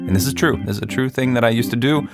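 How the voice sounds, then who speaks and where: A man's voice: mid-range voice, rapid-fire, soft background music. A middle-aged man hosting and telling a children's story in a playful, conversational manner. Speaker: podcast host and storyteller.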